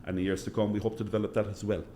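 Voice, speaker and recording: A middle-aged man with a Cork Irish accent, answering interview questions as a hospital chief executive in a measured way, repeating phrases for emphasis. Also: fairly low pitch, level tone, warm tone, a little hiss or hum. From Cork, Ireland.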